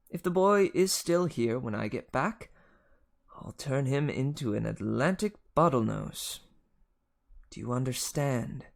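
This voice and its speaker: Man acting a petulant god, pompous, theatrical, menacing, creaky voice, fantasy storybook. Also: on the high side, voice pushed up, heavily acted. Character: haughty, petulant wine god threatening a boy. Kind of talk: dramatic children's audiobook reading.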